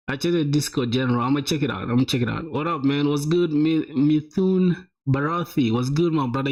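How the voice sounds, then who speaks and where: A young man live-streaming, reviewing viewers' websites in a casual, slangy way, full of "bro" and "my boy". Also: mid-range voice.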